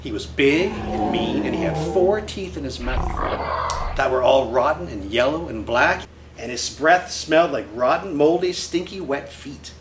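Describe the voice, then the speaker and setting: A man's voice: fairly high pitch, lively intonation, unhurried, background noise. A man reading a picture book aloud in character as a boy, with brash, slangy, emphatic delivery. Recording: roomy echo with faint music.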